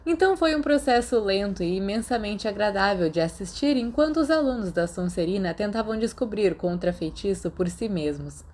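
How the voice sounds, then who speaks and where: A woman's voice: medium pitch, expressive, moderate pace, hardly any pauses, a little mellow, a little hiss or hum. Female narrator for fiction audiobook, Brazilian accent, mid-range voice, scripted storytelling.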